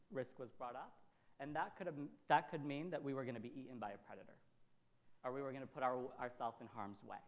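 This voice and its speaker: A man in his thirties from Syria giving a motivational talk, emphatic, repeating phrases and checking in with short tags. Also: a little high. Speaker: workshop speaker. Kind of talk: motivational presentation to an audience. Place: indoor event space, presenting in front of a backdrop.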